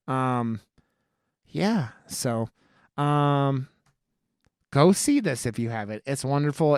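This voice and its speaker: A man's voice: fairly high pitch, a bit bright, dry recording, studio quality. A man hosting a casual podcast, chatting about films in a gushing, enthusiastic way with plenty of swearing. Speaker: podcast host. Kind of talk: casual, profane film review chat.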